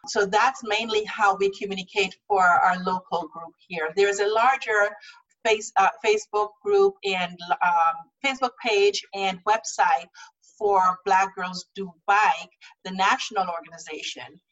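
A woman's voice: medium pitch, measured pace, a bit bright, distant mic. A woman speaks in an easy, earnest way and repeats words as she thinks. She sits a little back from the mic, and the recording sounds muffled. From Guyana. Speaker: guest, cycling group organizer. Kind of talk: casual interview talk.

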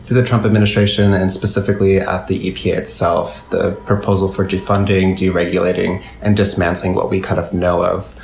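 Gravelly deep-voiced man, echoey room. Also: flat delivery, a little fast, few pauses, darkish tone. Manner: hesitant, many fillers. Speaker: ecology scientist. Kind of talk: conversational interview. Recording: echoey room with faint noise.

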